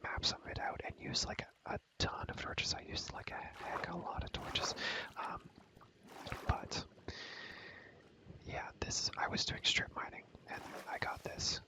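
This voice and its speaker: A man's voice: measured pace, poor audio. A young man whispering breathily in a casual, slangy ASMR gameplay commentary, rambling and repeating his phrases. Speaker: ASMR video creator, gamer.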